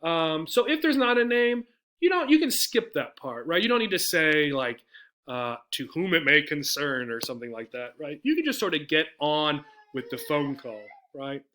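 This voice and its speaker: A man teaches an English lesson in a bright, clear-cut voice that sits slightly high. He talks conversationally, breaking points into small steps and often checking that listeners follow. He also speaks out model phrases as examples. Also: big pitch swings, normal speed. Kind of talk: explanatory lesson with example phone messages.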